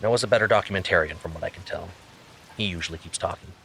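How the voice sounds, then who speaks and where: An actor playing an earnest amateur investigator, reasoning aloud as a conversational podcast narrator in an audio drama. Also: male voice, low-pitched, fast talker, vocal fry. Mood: explaining, wry. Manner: reasoning aloud, self-questioning.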